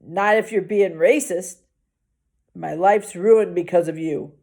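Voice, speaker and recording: A woman's voice: fairly low pitch, slow, mellow. A woman voicing a drunk, bitter and aggressive male security guard in a children's novel reading. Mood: bitter, angry. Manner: slurred, hollering.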